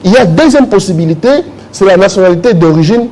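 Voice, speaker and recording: A man's voice: high-pitched, animated, fast talker, a little mellow, low-quality recording. A man speaking as a jurist guest in a morning interview, explaining legal texts and reading articles aloud to the host. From Democratic Republic of the Congo.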